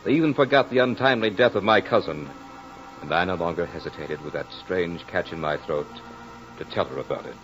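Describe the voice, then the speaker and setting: A man's voice: low voice, brisk, close to an everyday voice. An actor playing a French nobleman and former soldier in an old radio drama, speaking earnestly in a formal, theatrical way. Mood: wistful, happy. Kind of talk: 1940s radio drama acting. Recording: dull old radio recording with music.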